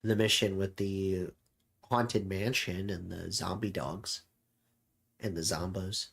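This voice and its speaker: A man with a fairly low voice talks as a streamer looking back on things. His delivery is very slow and halting, with pauses between phrases, in a casual, reflective style. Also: steady tone, creaky, a bit bright, husky. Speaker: streamer host.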